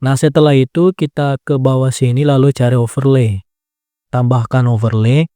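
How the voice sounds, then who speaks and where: Man in a casual tutorial voice-over, mellow and dry, easygoing step-by-step explainer. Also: medium pitch, moderate pace, no room sound. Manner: relaxed step-by-step explaining, frequent "nah" and "ya kan" tags. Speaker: tutorial creator. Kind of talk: casual screen-recorded app tutorial.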